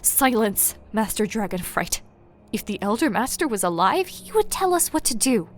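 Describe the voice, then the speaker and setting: A man's voice: high-pitched, very animated, moderate pace, faint music behind, voice pushed up. A man voicing a stern young woman ninja leader who speaks with firm command. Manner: commanding, reproachful.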